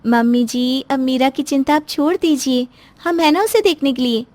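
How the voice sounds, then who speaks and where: A woman's voice: high-pitched, normal speed, darkish tone, no room sound, voice pushed up, lightly acted. A woman performs a crafty young sister-in-law in a storybook drama. The voice is raised and syrupy, coaxing with false sweetness. It is recorded dry and close. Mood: reassuring. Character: sly, sugary young sister-in-law manipulating the innocent. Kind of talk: moral story voice acting.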